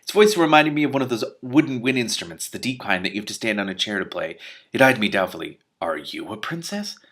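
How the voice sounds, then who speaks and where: A man reading a story aloud expressively, adding his own excited commentary. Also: lowish voice, fast talker. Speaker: book reader and commentator. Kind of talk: animated read-aloud with commentary.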